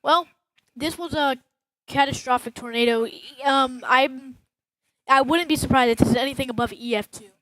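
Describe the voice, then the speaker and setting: A teenage boy with a raspy voice, talking through a voice changer that pitches him up, giving excitable, rambling storm commentary with many restarts. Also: normal speed, dry recording, rough recording. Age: teen.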